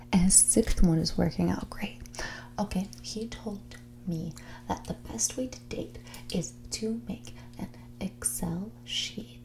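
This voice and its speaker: A woman playing a snobbish, manipulative heiress in her twenties in a whispered, soft-spoken ASMR roleplay, condescending and blunt with a drawl full of filler "like". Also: medium pitch, gravelly. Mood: smug, deadpan. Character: snobbish, manipulative young rich heiress on a date.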